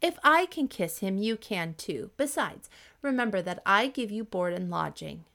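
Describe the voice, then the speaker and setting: A woman's voice: medium pitch, animated, normal speed, gravelly, fairly bright tone. A woman voicing a haughty, spoiled young princess in a storybook reading, imperious and fussy. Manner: imperious, wailing when upset.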